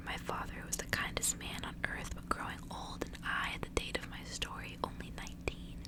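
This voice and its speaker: A woman whispering a gothic story aloud as an ASMR reading, all breath and no voice. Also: low-quality recording.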